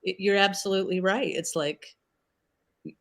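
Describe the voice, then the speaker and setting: A woman's voice: mid-range voice, normal speed. A woman in her fifties telling stories in a casual livestream chat, laughing often. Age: 50s. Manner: animated storyteller, laughs often.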